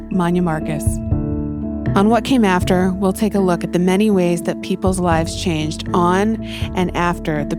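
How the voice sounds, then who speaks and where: A woman hosting a podcast, reading a formal introduction and asking interview questions in a measured way. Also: fairly low pitch. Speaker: podcast host.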